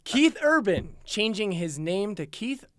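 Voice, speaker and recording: A man with a slightly high voice talks as a guest on a comedy podcast. He tells stories casually and animatedly, acting out his own thoughts. The sound is close and very dry. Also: very animated, measured pace, a bit bright, dry recording.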